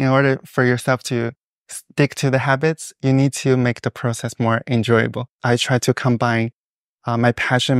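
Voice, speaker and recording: A young man speaks in a smooth, clear voice that stays flat and even in pitch. He answers interview questions thoughtfully, with many small pauses and fillers. The sound is dry and close. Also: no echo. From China. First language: Mandarin Chinese. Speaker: guest.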